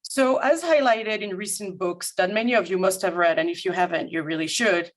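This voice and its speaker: A woman from Paris with a French accent, a guest consultant presenting formally and in a measured, articulate way to an audience. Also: medium pitch. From France, grew up in Paris. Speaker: guest speaker, management consultant. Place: remote video-call talk.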